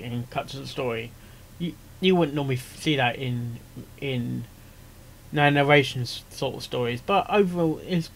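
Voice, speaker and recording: A man's voice: a little high, poor audio. A man giving casual, off-the-cuff commentary, hesitant and rambling, often repeating his phrases. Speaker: reaction video host, amateur voice actor.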